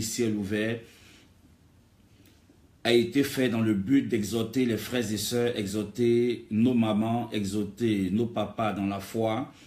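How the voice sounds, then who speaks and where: A man's voice: lowish voice, darkish tone. A man preaching an exhortatory sermon in an emphatic way, building on repeated rhetorical phrases. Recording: echoey, reverberant room.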